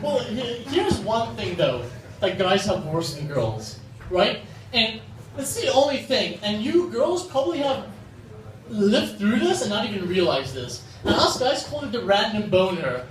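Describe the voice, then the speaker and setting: Animated, high-pitched man doing amateur stand-up. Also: normal speed. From Dubai. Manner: animated, acts out short quoted exchanges. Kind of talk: stand-up comedy at an open mic. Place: open mic comedy venue in front of an audience. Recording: echoey, noisy room recorded from far off.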